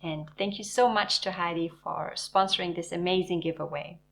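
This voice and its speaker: A woman hosting a knitting channel, narrating in a warm, enthusiastic, measured way. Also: lowish voice, fairly even intonation, gravelly, slight background noise. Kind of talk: scripted video narration.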